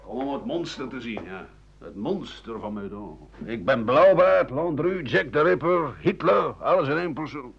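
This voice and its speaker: A man's voice: mid-range voice, animated, normal speed, gravelly, a little hiss or hum. An actor playing an embittered ageing writer and doctor who rambles sardonically through his memories in a radio monologue. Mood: sardonic. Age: older. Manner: rambling, bitter, sardonic, with muttering and dry chuckles. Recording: dull 1980 radio play recording.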